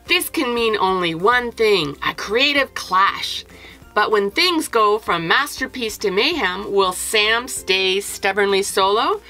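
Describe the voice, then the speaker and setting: A woman, a children's author presenting a picture book in an expressive, clearly enunciated storytelling way. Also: mid-range voice. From Canada.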